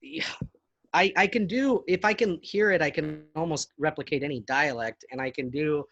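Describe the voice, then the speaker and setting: A middle-aged man with a high voice talks as a guest in a relaxed interview. He is chatty and self-deprecating, with lots of ums and restarts. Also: normal speed, gravelly, dull audio. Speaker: actor and guest. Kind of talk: casual interview chat.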